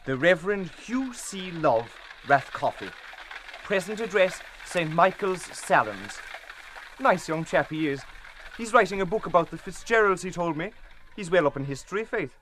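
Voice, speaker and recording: A man's voice: high-pitched, sing-song, moderate pace, a bit bright, a little hiss or hum, low-quality recording. An actor playing a hearty, blustering, chatty Dublin man with an Irish accent and an exclamatory way of talking, in a dramatised novel. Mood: amused, confiding. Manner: exclamatory, colloquial, emphatic. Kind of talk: dramatised literary audiobook dialogue.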